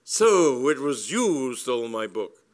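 A man's voice: medium pitch, expressive, moderate pace, natural voice. A man voicing a formidable society matron in a comic story, indignant, commanding and coldly declamatory.

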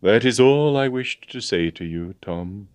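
A man's voice: fairly low pitch, lively intonation, darkish tone, clear, resonant tone, no room sound, voice pushed up. Man playing a bluff, wistful old army colonel. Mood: resigned, wistful. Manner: halting, repetitive, old-fashioned courtly and blustering phrasing. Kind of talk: dramatised storybook reading.

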